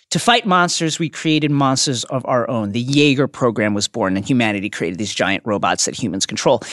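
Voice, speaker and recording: A man's voice: on the high side, a bit bright, no echo, clean studio sound. A man co-hosting a podcast, explaining films in an enthusiastic way from partly prepared notes. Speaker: podcast co-host. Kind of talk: film discussion, partly prepared notes with quotes.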